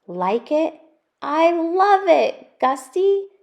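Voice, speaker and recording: A woman puts on a loving mama from a children's storybook. She sounds delighted and gushes with affection, pitched up above everyday talk. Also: big pitch swings, extremely slow, gravelly, darkish tone, full character voice. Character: Gus's loving, delighted mama, adult female pilot.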